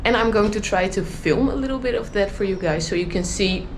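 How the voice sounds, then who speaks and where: A woman travel vlogger chatting casually to the camera, slightly croaky from just waking up, at a holiday resort. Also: mid-range voice, fairly quick, background noise. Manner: chatty, relaxed. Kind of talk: casual vlog talk to camera.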